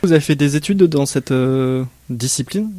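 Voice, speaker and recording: A man's voice: mid-range voice, normal speed, dark tone, no echo. A man hosting a local radio interview, hesitant, with frequent fillers as he introduces guests and asks questions. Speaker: radio host.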